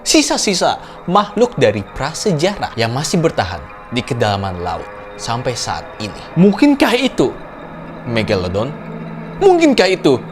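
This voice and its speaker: A man hosting a horror reaction video, giving dramatic, suspenseful commentary with rhetorical questions and exclamations. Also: medium pitch. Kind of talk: dramatic storytelling commentary to viewers.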